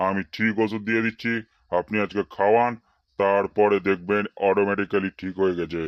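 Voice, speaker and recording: A man's voice: lowish voice, level tone, brisk, clear, resonant tone, natural voice. A man playing a pompous, bossy, money-grubbing quack doctor in a comedy cartoon, mixing English words into his talk. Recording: roomy, dull lo-fi sound.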